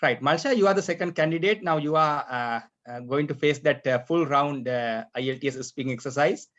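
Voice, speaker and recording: Halting male tutor on a muffled call. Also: fairly high pitch, a bit bright. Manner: halting, many fillers, frequent throat clearing. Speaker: English exam tutor running a mock speaking test. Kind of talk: examiner questions over an online class. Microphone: video call. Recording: dull lo-fi online call audio.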